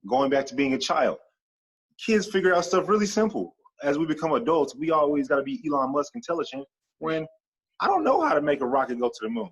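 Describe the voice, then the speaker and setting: A man speaking as a podcast guest in a casual interview, animated and stacking long repeated lists as he talks. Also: on the high side, fairly quick, slightly rough, poor audio.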